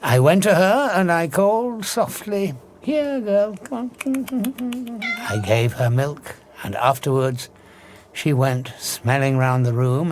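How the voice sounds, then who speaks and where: A man's voice: medium pitch, slight background noise, close to an everyday voice. An actor playing an obsessive, cold scientist who tells his story with theatrical, savouring relish in an audio drama. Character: obsessive scientist, invisible man, darkly exultant and cold.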